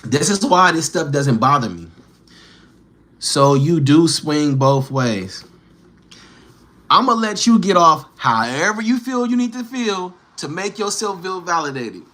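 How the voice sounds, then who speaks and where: A man's voice: on the high side, big pitch swings, measured pace, fairly bright tone. A man livestreaming, bantering with and teasing his chat in slangy street talk, firing challenging questions.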